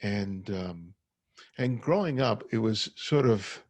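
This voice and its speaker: A man's voice: fairly low pitch, lively intonation, takes it slowly, darkish tone, no echo. A middle-aged man with a slight stutter who often repeats words, giving a personal talk as a guest over a video call. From United States. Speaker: guest photographer. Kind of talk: personal talk at an online forum.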